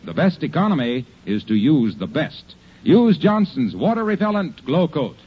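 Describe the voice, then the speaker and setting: A man's voice: a little high, very animated, moderate pace, music in the background. An American man, a polished, salesmanlike announcer reading advertising on mid-century radio. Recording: muffled vintage radio recording.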